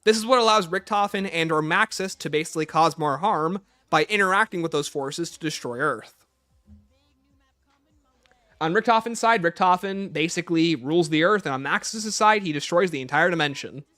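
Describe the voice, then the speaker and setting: A man's voice: fairly high pitch, normal speed, bright, forceful tone. A man hosting a casual livestream, chatting informally and playfully as he answers viewer questions. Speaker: livestream host.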